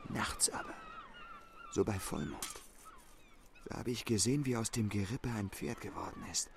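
A man's voice: lowish voice, wildly swooping pitch, moderate pace, gravelly, heavily acted. A man in a German radio play acting a gossipy, superstitious villager who tells ghost tales in clipped colloquial phrases. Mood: eerie, excited. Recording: outdoor-sounding scene, honking geese, faint noise.

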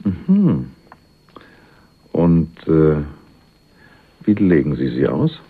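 Deep, gravelly, cynical go-between. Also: male voice, huge pitch swings, drawn-out words, heavily acted. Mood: wry, flirtatious. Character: cynical, sly male go-between, cheekily flirtatious. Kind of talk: German radio crime drama acting. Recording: muffled, phone-like 1980s radio recording.

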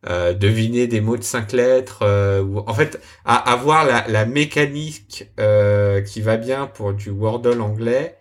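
A young adult man, a software developer, explaining his project in a casual interview, hesitating often with many fillers and restarts. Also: fairly low pitch, a little slow, few pauses. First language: French.

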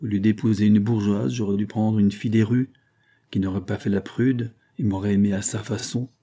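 A man's voice: fairly low pitch, fairly even intonation, a little fast, dark tone. A man reading an audiobook, voicing a drunken, bitter glassmaker husband who bullies and wheedles, emphatic and sardonic. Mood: bitter, angry.

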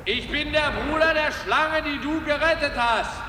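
A man's voice: extremely high pitch, pushed and bright, heavily acted. An actor playing a mysterious, insistent horseman who calls out emphatically in a 1950s German radio drama. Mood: insistent, reassuring. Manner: calling out slowly, emphatic. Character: mysterious horseman, insistent and reassuring brother of a snake. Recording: echoey hall with noisy ambience.

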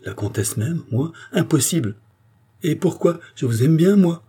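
A man's voice: on the high side, lively intonation, unhurried, dark tone, slightly rough, voice pushed up, exaggerated voice. A man playing a proud, honourable count in a historical novel, formal, emphatic and insistent. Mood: astonished. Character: indignant, honourable count, proud and courteous nobleman. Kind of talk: dramatic audiobook character acting.

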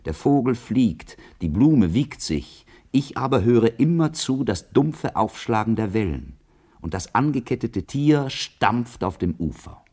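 A man's voice: mid-range voice, very animated, unhurried, mellow, breathy edge. An actor playing a brooding, earnest schoolboy at the end of his school days in a literary radio drama, speaking formally. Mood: solemn, brooding. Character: brooding, earnest schoolboy leaving school, wild-hearted, resolute.

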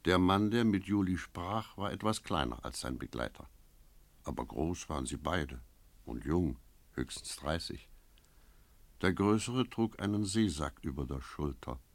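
An actor playing a brooding village innkeeper, narrating his memories in a measured, lyrical, solemn manner for a radio play. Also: very deep voice, no room sound, full character voice. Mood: watchful.

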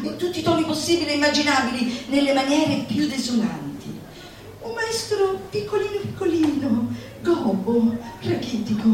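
An actress performing a manic female stage orator, high-voiced and declamatory. She stretches key words for emphasis, heard from far off in a very echoey space. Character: manic, theatrical female futurist orator exalting laughter at suffering. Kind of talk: theatrical comic monologue before an audience. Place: roomy performance space, recorded from a distance. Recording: echoey hall, far mic, faint noise.

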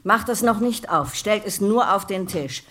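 A woman's voice: medium pitch, measured pace, fairly bright tone. An actress playing a bossy but caring female family friend with a commanding, blunt manner in an audio drama. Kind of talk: acted audio drama dialogue.

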